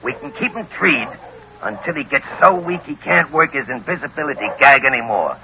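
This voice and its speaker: An actor playing a ruthless, commanding gangster boss with tough slangy talk, in an old radio crime drama style. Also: male voice, on the high side, expressive, brisk, pushed and bright, dull audio, exaggerated voice. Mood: grimly scheming. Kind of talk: 1930s-1940s radio acting. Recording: old radio recording with faint noise.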